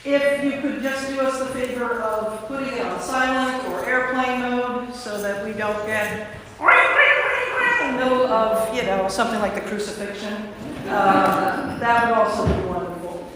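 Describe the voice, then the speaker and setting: A woman's voice: fairly high pitch, lively intonation, unhurried. Woman, priest and narrator, very echoey hall, recorded from a distance, faint noise. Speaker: priest and narrator of a staged reading. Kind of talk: informal welcome address, then scripted narration. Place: large indoor church space.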